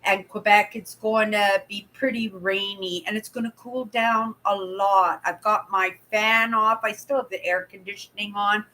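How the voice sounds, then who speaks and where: A Canadian woman in her sixties talks slowly and at length to her livestream viewers, wandering from one story to the next. Her voice is bright and clear. She sits at a webcam in a room at home and greets her chatters as she goes. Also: medium pitch, fairly even intonation, slight background noise, poor audio. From Vancouver, Canada. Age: 60s. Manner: chatty, rambling, reads out comments. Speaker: livestream host. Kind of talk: casual livestream chat. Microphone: webcam at desk.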